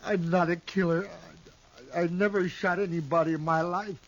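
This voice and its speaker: An actor playing a timid, frightened young outlaw who stammers and pleads, in a 1950s radio drama. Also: male voice, high voice, steady tone, normal speed, highs cut off, natural voice. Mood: shaken. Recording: old radio broadcast with hiss.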